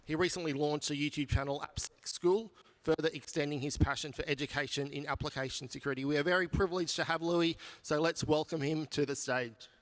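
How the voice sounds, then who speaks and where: A man's voice: fairly high pitch, steady tone, fairly quick, fairly bright tone, a little hiss or hum. A man hosting a conference, reading a formal introduction of the keynote speaker. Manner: formal, reads a prepared bio. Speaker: conference host. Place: conference hall stage.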